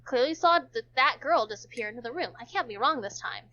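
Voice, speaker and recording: A woman with a fairly high voice narrates a visual novel as an amateur reader. She now and then trips on a word and goes back over it. The sound is dull and lo-fi. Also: lively intonation, fairly quick, sharp tone. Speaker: narrator in a group read-along.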